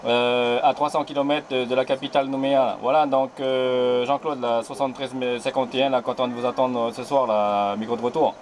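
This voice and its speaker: Radio operator from New Caledonia, man, even-paced, light background hiss. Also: mid-range voice, fairly quick, hardly any pauses. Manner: relaxed, fills talk with "là". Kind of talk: CB radio contact.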